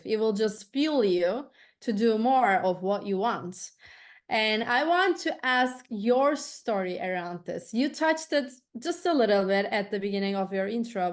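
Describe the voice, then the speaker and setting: A woman hosting an interview, chatting and asking long, chatty questions. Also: medium pitch. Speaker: podcast host.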